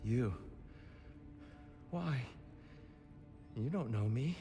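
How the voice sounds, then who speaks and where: Game role: gentle freed god, fearful and hesitant, formal wording, mid-pitched, clear music behind. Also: male voice, animated, drawn-out words. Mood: wary, hesitant. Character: frightened, gentle, broken freed god of war. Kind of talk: dramatic video game acting.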